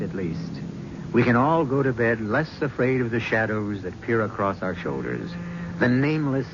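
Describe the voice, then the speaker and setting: A man hosting a radio mystery show, giving a reflective, theatrical scripted closing narration. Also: medium pitch. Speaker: host. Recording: muffled old radio recording with hiss.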